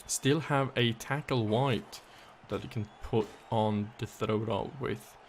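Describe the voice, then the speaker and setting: A man casting a live video game match, his voice raspy and hoarse, thinking aloud through the plays. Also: medium pitch, fairly even intonation, takes it slowly, slight background noise. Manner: analytical, thinking aloud, thanks viewers. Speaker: game caster.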